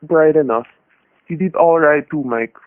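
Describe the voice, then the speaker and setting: A tinny old radio recording carries a man acting a shrewd off-duty detective. He is steady and wry, with a folksy hard-boiled delivery suited to a noir crime play. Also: on the high side, measured pace, slight background noise, lightly acted. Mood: satisfied, wry. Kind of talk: vintage radio drama acting and noir narration.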